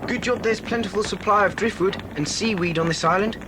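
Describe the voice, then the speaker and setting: A confident British schoolboy adventurer played by a male actor talks in a high voice. He is reassuring, in a children's drama with clear background noise. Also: fairly quick, lightly acted. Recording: noisy old cassette recording.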